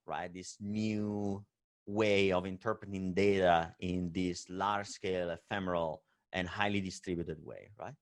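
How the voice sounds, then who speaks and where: A man from Italy with an Italian accent giving a remote conference talk, full of "uh" hesitations and tagging his points with "right?". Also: on the low side. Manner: many fillers and hesitations, frequent "right?" tag. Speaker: conference speaker.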